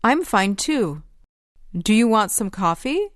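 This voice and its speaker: A woman reading the lines of a friendly speaker in a scripted English-lesson dialogue, with careful, even delivery. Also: medium pitch, slow, no echo, clean studio sound. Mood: plain friendly. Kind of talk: slow scripted textbook dialogue.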